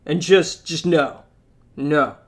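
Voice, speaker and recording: A man's voice: on the high side, slow, fairly bright tone. A man voicing the sun as a haughty, self-important figure who bossily dismisses drivers. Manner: bossy, dismissive.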